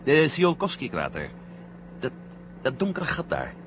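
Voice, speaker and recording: A man's voice: medium pitch, huge pitch swings, a little slow, a bit bright. A man acting a wry, wondering astronaut hero in a science-fiction radio drama. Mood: watchful. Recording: muffled old radio recording, faint hum.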